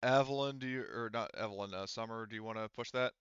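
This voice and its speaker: A man's voice: fairly low pitch, fast talker, a bit bright, a little hiss or hum. A man working as a game master, casually narrating and ruling on a tabletop game in a rambling, profane, self-correcting way. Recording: slightly dull, lo-fi microphone sound.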